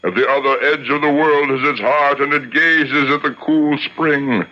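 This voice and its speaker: An actor playing a revered old rabbi and exorcist, speaking in a measured, incantatory 1970s radio drama style. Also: male voice, a little high, fairly even intonation, natural voice. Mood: solemn, incantatory. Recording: dull, muffled old radio broadcast.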